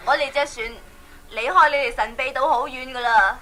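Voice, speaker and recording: High-voiced earnest young hero. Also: female voice, moderate pace, pushed and bright, soft background music, rough recording. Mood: grateful, earnest. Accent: Hong Kong Cantonese. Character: brave, humble young male adventurer hero. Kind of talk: 1980s children's radio drama acting.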